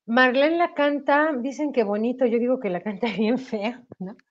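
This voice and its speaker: A woman's voice: fairly high pitch, moderate pace, dull audio. A woman with a Mexican accent, a singer on a video call interview, talking animatedly with a slight cough. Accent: Mexican Spanish. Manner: animated, tag questions, laughs while talking. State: slight cough, sore throat. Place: home room. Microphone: webcam on video call.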